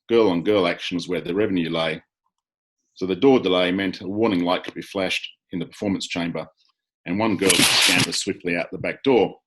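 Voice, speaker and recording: A man's voice: sits low, a bit bright, rough recording. An Australian man, a crime author, reading his own hard-boiled first-person story over a video call.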